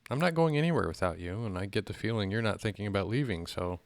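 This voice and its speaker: A man's voice: lowish voice, quick pace, dry recording, natural voice. A man acting a devoted, unworried companion in an audio drama, casual and reassuring. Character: protective, unworried male companion, upbeat, devoted. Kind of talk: naturalistic audio drama dialogue.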